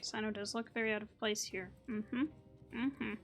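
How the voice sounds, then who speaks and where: A woman's voice: medium pitch, little pitch movement, normal speed, fairly bright tone. A woman hosting a gaming video, giving casual, chatty commentary as she thinks aloud. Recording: light game music behind voice. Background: video game music.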